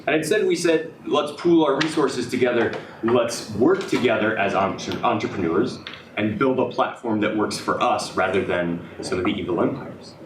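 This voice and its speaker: Slightly raspy man pitching his startup. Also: lively intonation, moderate pace, background noise. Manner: hesitant, many fillers and restarts. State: slightly hoarse. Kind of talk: business pitch presentation. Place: event room with audience. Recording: echoey room, speaker far from mic.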